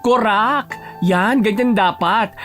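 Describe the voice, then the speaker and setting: Campy, high-pitched makeover stylist. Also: male voice, takes it slowly, a bit bright, soft background music. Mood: excited, cheering. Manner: theatrical, sassy, exclaiming. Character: flamboyant, campy, encouraging makeover stylist friend. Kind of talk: Tagalog audio drama acting.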